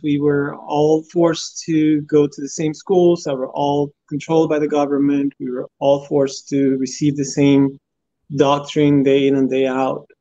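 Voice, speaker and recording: A man in his forties from Cuba, a guest answering interview questions in an earnest, steady way over his phone. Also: on the high side, no room sound. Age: 40s. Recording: dull phone audio.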